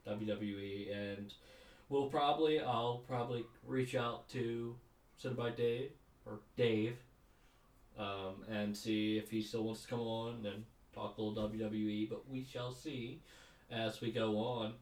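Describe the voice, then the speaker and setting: A man's voice: lowish voice, steady tone, measured pace, darkish tone. A man co-hosting a podcast in casual chat, rambling and cynical. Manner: conversational, rambling, cynical asides. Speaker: podcast co-host. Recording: roomy sound, mic at a distance.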